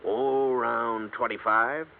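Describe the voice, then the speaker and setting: An actor playing a wry, chatty insurance agent in a 1950s radio drama. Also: male voice, mid-range voice, fairly even intonation, slow pace, gravelly, a little hiss or hum. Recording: tinny lo-fi 1950s radio broadcast.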